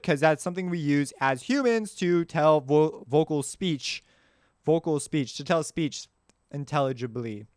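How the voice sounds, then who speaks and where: A man hosting a casual music software tutorial, talking in an informal, rambling way and often correcting himself as he goes. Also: fairly high pitch, clean tone, no room sound, studio quality.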